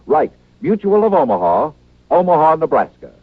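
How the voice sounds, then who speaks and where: A man's voice: fairly high pitch, big pitch swings, normal speed, dry recording. A man with an American accent reading scripted sponsor messages as a vintage radio announcer. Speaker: commercial announcer. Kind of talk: 1950s radio advertisement read. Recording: tinny, dry old radio recording.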